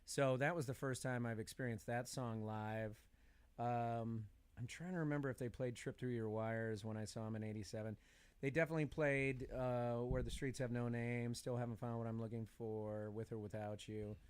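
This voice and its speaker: A man's voice: medium pitch, fairly even intonation, moderate pace, clear, resonant tone. Middle-aged man chatting casually on a podcast. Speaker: podcast speaker.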